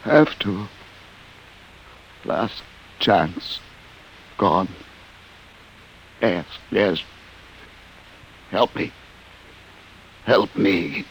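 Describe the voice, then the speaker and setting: An actor playing a desperate, ailing man in an old radio drama, gasping for help in broken, halting phrases. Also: lowish voice, rough recording. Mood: failing, desperate. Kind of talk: mid-century American radio drama acting. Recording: hissy old radio broadcast.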